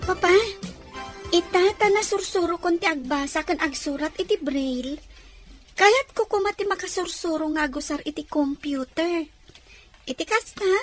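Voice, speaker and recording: Woman acting as an eager schoolchild, high-pitched voice, polite, audio-drama dialogue. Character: eager, polite blind schoolchild talking to Papa. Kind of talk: Filipino radio-drama dialogue in Ilocano.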